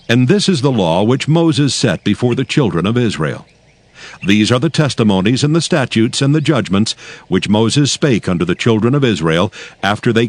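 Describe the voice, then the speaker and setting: A man narrating scripture in a formal, measured storytelling style, as a scripted reader. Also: medium pitch, no echo. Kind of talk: scripted dramatized Bible narration.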